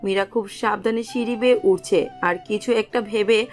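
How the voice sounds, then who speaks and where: A woman's voice: mid-range voice, fairly even intonation, moderate pace, soft background music. A woman reading scripted third-person narration as the storyteller of a romantic audio story.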